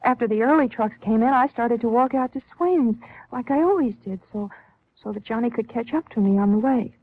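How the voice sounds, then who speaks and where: Actress as high-voiced, nervous young newlywed. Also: female voice, no room sound, lightly acted. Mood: frightened, earnest. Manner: hesitant, halting, anxious. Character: nervous, secretive young newlywed woman, frightened but earnest. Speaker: actor in a radio drama. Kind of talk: 1950s radio drama acting. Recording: tinny, band-limited 1950s radio recording.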